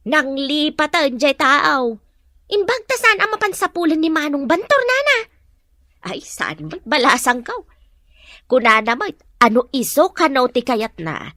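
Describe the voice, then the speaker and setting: An actress playing a nosy, brazen village gossip in an Ilocano audio drama, with an Ilocano accent and a sing-song teasing manner. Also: female voice, high-pitched, sharp tone, no room sound, studio quality, exaggerated voice.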